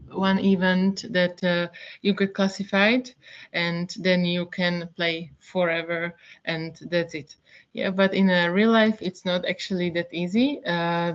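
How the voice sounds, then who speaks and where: Woman, from Slovakia, webinar lecturer; slow, halting delivery full of fillers, slightly low voice, muffled call audio. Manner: hesitant, many fillers. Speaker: physiotherapist and boccia classifier.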